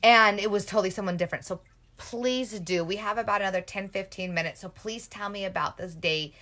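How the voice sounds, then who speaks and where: A woman's voice: medium pitch, brisk, bright, forceful tone. A woman in her thirties co-hosting a casual chat, candid and laid-back. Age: 30s. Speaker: podcast co-host.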